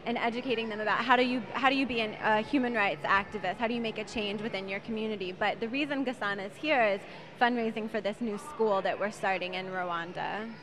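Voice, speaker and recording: A woman's voice: medium pitch, quick pace, a crowd in the background. A young American woman in her twenties, a guest on a talk show, speaking fluently and passionately about her charity work. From United States. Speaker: guest, charity executive director. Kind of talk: interview answers.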